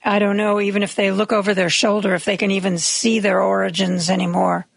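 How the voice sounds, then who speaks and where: A woman hosting a radio talk show, raspy from medication, giving chatty, wry news commentary with opinionated asides. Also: mid-range voice, little pitch movement, brisk, no echo. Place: radio studio.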